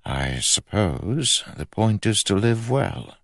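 A man voicing a calm, skeptical magician in an audiobook, with a dry, reasoned manner. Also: fairly low pitch, slow, creaky, dry recording, voice pushed up, full character voice. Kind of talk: audiobook character acting.

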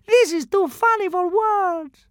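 A man's voice: extremely high pitch, a little slow, no echo, clean studio sound, pitched above the performer's own voice. A man voicing a crowd of Roman spectators who mock and jeer in a storybook reading. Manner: mocking, cackling jeers.

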